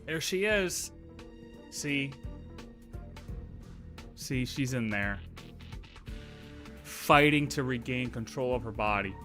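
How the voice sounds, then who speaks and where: A man livestreaming a game with casual, excitable commentary, emphatic, repetitive and sweary, over game music. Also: medium pitch, slow pace, long pauses. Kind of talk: casual live reaction commentary.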